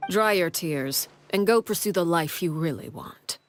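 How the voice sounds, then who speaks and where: A cold, commanding matriarch speaks in a low, calm and measured voice, often dropping to a hush, as a woman acts her out. She sounds stern and fully in control, the head of an orphan house in a story game. Faint music plays behind her. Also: natural voice. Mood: solemn. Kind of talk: video game voice acting.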